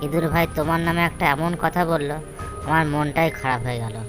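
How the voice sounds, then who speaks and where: A woman's voice: low voice, fast talker, music in the background, close to an everyday voice. A woman voicing a sly, scheming fox in a cartoon fable, talking in a persuasive, confiding way. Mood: feigned concern. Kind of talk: cartoon animal fable acting.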